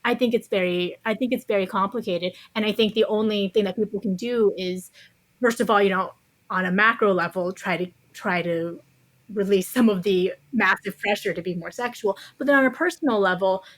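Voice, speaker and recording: A woman gives considered answers in a conversational podcast interview. Her voice sits at a middling pitch, and she qualifies her points with care. The sound is dry and free of room echo. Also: brisk. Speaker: podcast guest, author.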